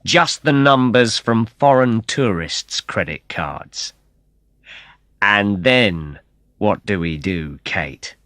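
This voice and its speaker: A man voicing a cold, menacing male crook who drawls his threats slowly in a storybook reading. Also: fairly low pitch, expressive, fairly bright tone, dry recording. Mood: sneering. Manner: slow, drawling and sneering.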